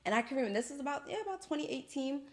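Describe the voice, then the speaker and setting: A woman hosting a podcast, chatting casually and affirmingly, with lively colloquial phrasing. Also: high-pitched, rapid-fire. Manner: lively, colloquial, laughs often, affirming fillers. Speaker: podcast host. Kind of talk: casual interview chat.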